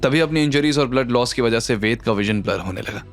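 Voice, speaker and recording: A man's voice: medium pitch, brisk. A man narrating a scripted audio drama story in a dramatic style. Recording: music under the voice.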